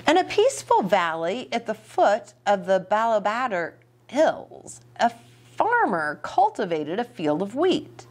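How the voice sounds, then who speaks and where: Slow-paced woman with a lively, swooping voice. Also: medium pitch, slight background noise. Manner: animated, asking the young listeners questions. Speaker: children's ministry storytime host. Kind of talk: picture-book read-aloud for young children with chatty asides. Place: small indoor reading nook with bookshelf and curtains.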